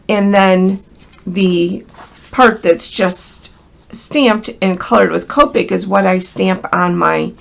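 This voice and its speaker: A woman's voice: lowish voice, vocal fry. An American woman with a crackly voice from a cold gives a casual, chatty hands-on craft tutorial, speaking directly and in a friendly way to viewers. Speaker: craft instructor.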